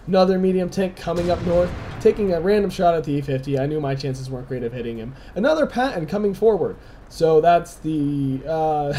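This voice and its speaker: A young man, slightly hoarse from a dry throat, casually commentating a game replay with relaxed chuckles and play-by-play analysis. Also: sits high. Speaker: gameplay commentator.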